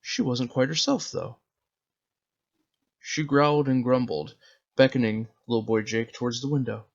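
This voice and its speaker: A man's voice: medium pitch, steady tone, moderate pace, gravelly, highs cut off. A man narrating his own short story in a dramatic storybook style. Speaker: author reading his own zine.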